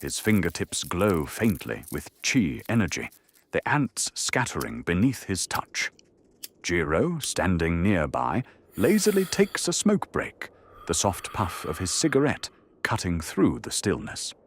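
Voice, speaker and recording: A man narrates a scripted drama in a low, husky voice. His intonation is lively and dramatic, rising and falling as he sets each scene. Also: measured pace.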